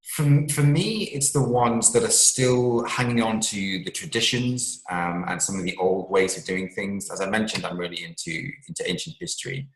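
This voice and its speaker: Deep-voiced man in an echoey room. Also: normal speed, hardly any pauses. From England. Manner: rambling, many ums and restarts. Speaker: author and scientist guest. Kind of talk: interview answers.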